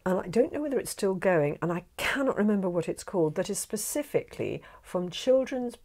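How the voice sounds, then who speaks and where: A middle-aged woman, an animated and emphatic guest, chatting in a small studio room on a lapel mic. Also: mid-range voice, fairly quick. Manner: animated, emphatic, laughs often. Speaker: guest narrator and director. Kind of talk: casual interview chat.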